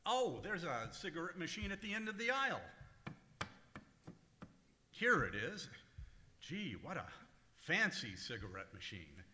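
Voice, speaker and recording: Guy doing old radio comedy fussbudget man, very bright voice, swoopy pitch, lots of hemming. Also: very animated, a little hiss or hum, full character voice. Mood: muttering. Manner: hesitant, hemming and drawn-out delivery. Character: fussy, exasperated male radio comedian out Christmas shopping. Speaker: stage actor in old-time radio revue. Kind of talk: 1940s radio comedy acting before a live audience. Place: large echoey museum room, live stage. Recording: echoey hall recording of stage show.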